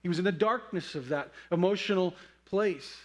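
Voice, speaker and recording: A middle-aged man with a notably high voice preaches like a pastor. He talks in a conversational, storytelling way. He slows into dramatic pauses to give key words weight. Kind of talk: sermon with personal storytelling.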